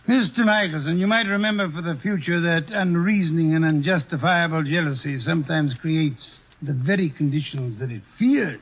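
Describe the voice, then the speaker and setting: A corpulent, imperious older detective holds forth in a measured, sardonic, urbane drawl, his voice pitched notably high for a man. The sound is dull and lo-fi, like an old radio transcription. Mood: calm, lecturing. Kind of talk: 1940s radio acting.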